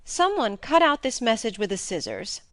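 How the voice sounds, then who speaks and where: A blunt, eager baronet, put on by a woman narrator questions and follows along emphatically. The pitch swings in a lively way, and the audiobook recording is dry and close. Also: normal speed, no room sound. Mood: following along. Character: blunt, inquisitive male baronet, eager to understand. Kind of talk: audiobook character reading.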